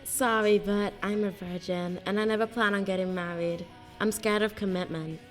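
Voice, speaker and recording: A woman's voice: medium pitch, steady tone, normal speed, soft background music, close to an everyday voice. A woman playing a gossipy, needy young maiden companion in a video game, with a chatty, forward manner.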